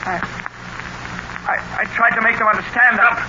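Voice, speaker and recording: An actor playing a tormented young lieutenant under a hypnotic spell in a radio drama, halting and strained. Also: male voice, very high voice, steady tone. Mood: struggling, desperate. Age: young adult. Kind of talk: 1930s radio drama acting. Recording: muffled noisy old radio recording.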